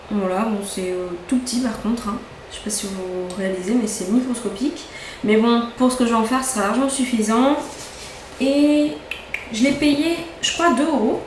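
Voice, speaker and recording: A young woman with a fairly high voice talks through her purchases in a chatty, excitable way, doubling up words when she loves something. The room rings with echo. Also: slight background noise. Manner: chatty, enthusiastic, repeats words for emphasis. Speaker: beauty vlogger. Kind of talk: casual haul chat. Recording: echoey room, faint ambience.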